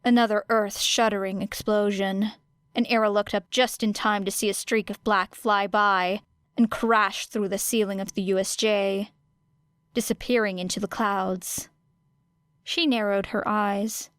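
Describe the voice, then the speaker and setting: A woman narrating a fiction audiobook in a deliberate, expressive, dramatic style. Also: mid-range voice, clean tone, dry recording, studio quality.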